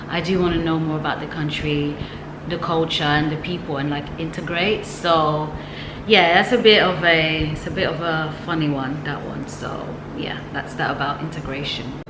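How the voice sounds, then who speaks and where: A woman talking casually to camera in a vlog, reflective and chatty, with light laughs and frequent fillers. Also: sits low. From from the West, now living in Ghana. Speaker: vlogger. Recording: echoey room with noticeable background noise.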